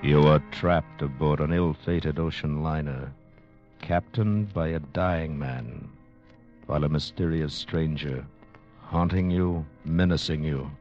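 An actor playing an ominous announcer in a 1940s radio adventure drama, speaking with slow, menacing drama. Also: male voice, a bass voice. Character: ominous male announcer luring listeners into peril. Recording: muffled old radio recording, music behind.

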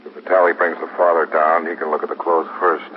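A man's voice: extremely low pitch, little pitch movement, fairly quick. A man playing a weary, matter-of-fact police lieutenant in a 1950s radio drama. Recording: muffled, noisy 1950s radio recording.

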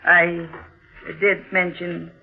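An actor playing a sly, unctuous older uncle with a hesitant, insinuating drawl in an old-time radio mystery. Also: male voice, high-pitched, flat delivery, drawn-out words, creaky, exaggerated voice. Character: sly older uncle turned blackmailer, unctuous then terrified. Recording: narrow-band vintage 1940s radio recording.

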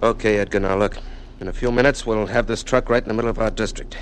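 Actor as menacing boss, old-time radio, lowish voice, faint traffic. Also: male voice, fairly even intonation, fast, close to an everyday voice. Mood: bullying. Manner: bullying, gruff. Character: menacing male political boss. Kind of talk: 1940s radio acting.

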